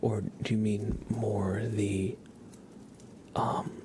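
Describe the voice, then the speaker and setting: A man whispering softly and breathily close to the mic as he hosts an ASMR livestream, chatting casually and gently repeating words. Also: fairly low pitch, little pitch movement, background noise. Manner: soft, repeats words and names slowly.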